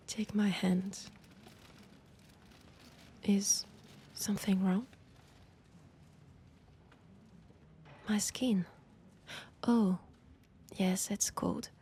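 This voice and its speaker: A woman with a French accent playing an eerie, seductive guide of a magic library in a breathy, half-whispered ASMR roleplay. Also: medium pitch. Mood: hushed warning. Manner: slow, deliberate, hushed. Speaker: roleplay voice actor. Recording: roomy long reverb, faint background noise.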